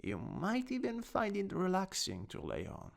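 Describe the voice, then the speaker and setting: A man with an Italian accent playing a gently dominant, doting anatomy teacher in a scripted ASMR roleplay, coaxing and instructing. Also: sits high, wildly swooping pitch, normal speed, vocal fry, voice pushed up, full character voice. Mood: coaxing, tender. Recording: long reverberant hall echo.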